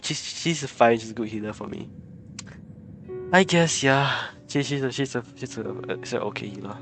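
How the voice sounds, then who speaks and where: A man's voice: medium pitch, expressive, normal speed. A man livestreaming a game in casual chat, flustered and giggly, often repeating his words. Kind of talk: casual live gameplay chat. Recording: game music clearly behind the voice. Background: video game music.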